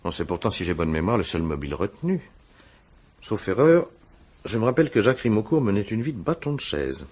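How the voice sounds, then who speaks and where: An actor playing an uneasy, evasive former private detective in a French radio mystery, hesitant and feigning casualness. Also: male voice, fairly low pitch, sing-song, a little fast, a little mellow, husky. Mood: falsely casual. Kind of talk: French radio crime drama acting.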